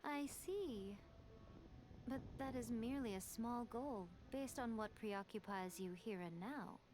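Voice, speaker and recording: A woman voicing a solemn, lofty female ruler in a fantasy video game, speaking formally and with measured gravity. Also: a little high, background noise, lightly acted. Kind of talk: scripted video game voice acting.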